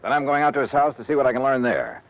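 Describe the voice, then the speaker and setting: An actor playing a skeptical, brisk insurance investigator in a hardboiled 1950s radio drama, with a clipped, probing, wry delivery. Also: male voice, mid-range voice, big pitch swings. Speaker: insurance investigator lead and narrator. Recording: dull vintage radio recording, noisy.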